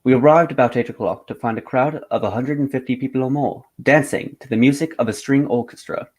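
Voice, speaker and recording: A man's voice: mid-range voice, a little fast, a little mellow, close to an everyday voice. An actor playing a dry, insistent male English detective with formal, clipped courtesy in a radio drama. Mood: businesslike.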